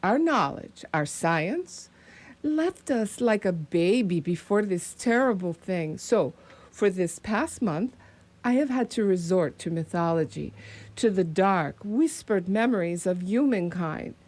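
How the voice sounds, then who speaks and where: Woman playing a slow, solemn learned professor. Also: medium pitch, expressive, darkish tone. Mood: grave, ominous. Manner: deliberate, solemn, formal phrasing. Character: grave, insistent male professor, learned and paternal foreigner. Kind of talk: radio play acting.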